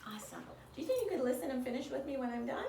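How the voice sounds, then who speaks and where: Female kids' yoga teacher, encouraging and clear in instruction, higher-pitched, recorded at a distance in a roomy space. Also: very animated, very fast, mellow. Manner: encouraging, simple instructions for young children. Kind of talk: guided children's yoga lesson. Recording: roomy, distant mic, faint background noise.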